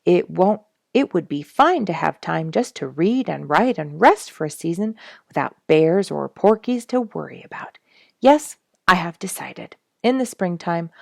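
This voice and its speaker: A resolute storybook naturalist who wants some rest speaks in firm, emphatic declarations, read by a woman. The voice is creaky and close, with very little room sound. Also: on the low side, a little mellow, dry recording. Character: weary, resolute male naturalist wanting rest.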